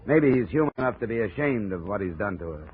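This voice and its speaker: Guy doing hard-boiled detective, cynical, deep voice, old 50s radio drama, crackly narrow sound. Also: lively intonation, fast talker, close to an everyday voice. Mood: hard, resolute. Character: tough, cynical insurance investigator leading a jungle manhunt. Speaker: narrator and lead actor. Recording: scratchy, narrow-band 1950s radio recording.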